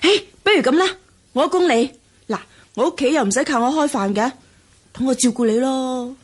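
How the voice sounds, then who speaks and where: Bubbly young Hong Kong best friend, high and husky. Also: female voice, normal speed. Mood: sympathetic. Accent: Hong Kong Cantonese. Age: young adult. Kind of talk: Cantonese radio drama acting.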